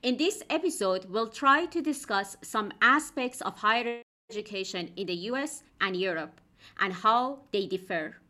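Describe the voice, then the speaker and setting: A woman hosts an academic podcast with a bright, crisp voice. She reads her prepared introduction in a clear, measured way, then puts questions to her guest. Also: medium pitch. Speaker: podcast host, assistant professor.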